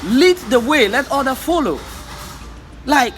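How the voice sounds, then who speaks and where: A man's voice: very high-pitched, expressive, unhurried, a bit bright, soft background music. A man from Africa giving an impassioned motivational vlog monologue, repeating himself and stumbling over words when heated. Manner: fervent, repetitive, exhorting, stammers when heated. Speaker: vlogger.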